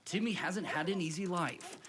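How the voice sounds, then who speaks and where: A woman's voice: fairly low pitch, normal speed, noisy background. An American woman working as a news reporter, reading a scripted feature story.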